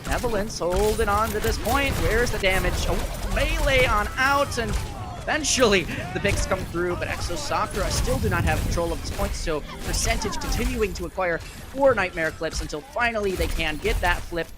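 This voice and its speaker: A man's voice: high voice, moderate pace, loud music behind the voice. A man giving live play-by-play commentary on an esports match, with a playful, wry way of talking and plenty of quips.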